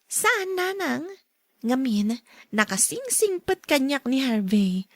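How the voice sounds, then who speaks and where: An actress playing a devoted, calm young wife in an audio drama, with an Ilocano Filipino accent. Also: female voice, sits high, slow pace, dry recording, heavily acted. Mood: reassuring.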